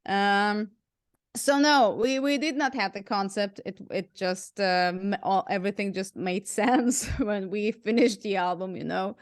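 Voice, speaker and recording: A woman's voice: medium pitch, fairly even intonation, unhurried, no room sound, studio quality. A woman from Hungary with an English accent mixed from Hungarian and German, chatting as an interview guest, laughing often and saying "like" a lot. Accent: mix of Hungarian and German. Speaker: guest musician. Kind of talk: casual interview chat.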